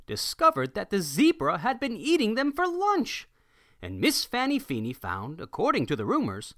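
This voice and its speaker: A man reading a rhyming picture book aloud with an expressive sing-song rhythm. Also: fairly high pitch, no echo, clean studio sound. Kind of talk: rhyming read-aloud narration.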